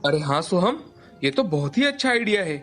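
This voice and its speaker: Sagar, a man voicing a gentle, forgiving seller. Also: high-pitched, sing-song, a little fast, faint music behind, exaggerated voice. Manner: gentle, reassuring. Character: kind forgiving adult male pulao seller. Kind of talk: cartoon voice acting.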